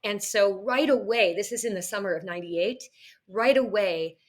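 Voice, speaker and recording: A middle-aged woman is a guest on a podcast and talks frankly about her own past. She tells it in a matter-of-fact way. Her voice has a middling pitch. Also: moderate pace. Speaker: guest, educational crisis manager. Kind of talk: interview storytelling.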